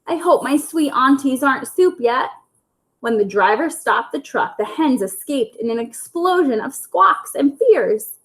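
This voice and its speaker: A woman reading a children's storybook aloud in an expressive narrating style, as at a school story time. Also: a little high, poor audio.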